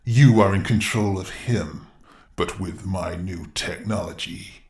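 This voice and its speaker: A man's voice: low voice, reverberant. A man playing a gloating evil robot overlord in an audio drama, with a grandiose, commanding villain delivery. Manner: slow, grandiose villain delivery with sudden shouts. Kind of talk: fan audio drama acting.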